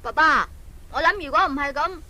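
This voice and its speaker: 一個女人喺兒童廣播劇入面，用香港廣東話扮一個開朗有膽識嘅細仔、年輕裁縫，把聲好似男仔，講嘢認真又生動。. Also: female voice, brisk. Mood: earnest. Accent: Hong Kong Cantonese. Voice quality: high boyish voice. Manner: earnest, animated. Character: cheerful, plucky youngest son, a young tailor. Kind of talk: Cantonese children's radio drama.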